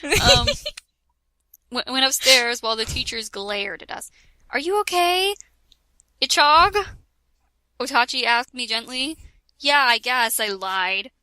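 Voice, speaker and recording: A woman reads a parody story aloud in a slow, unhurried way and keeps stopping for jokes and giggles. Her voice sits a little high. The sound is dry and close. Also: lively intonation, bright, forceful tone, no echo. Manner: giggly, breaks off for joking asides. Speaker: reader of a parody fan fiction. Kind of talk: casual comic dramatic reading.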